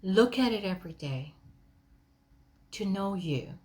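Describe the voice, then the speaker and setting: A woman's voice: medium pitch, animated, takes it slowly, darkish tone. A woman giving a motivational talk, speaking directly to listeners in an emphatic, conversational way with rhetorical questions. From Kenya.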